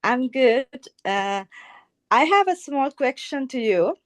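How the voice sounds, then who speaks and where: A woman student on a video call, asking a teacher a question in a shy, halting way. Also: sits high, big pitch swings.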